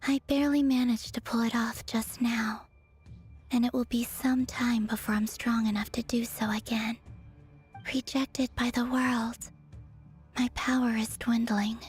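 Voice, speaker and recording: An actress playing a weary, melancholy moon goddess in a video game, breathy and whispery, speaking with slow resignation. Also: female voice, on the high side, steady tone, a little mellow, soft background music, natural voice.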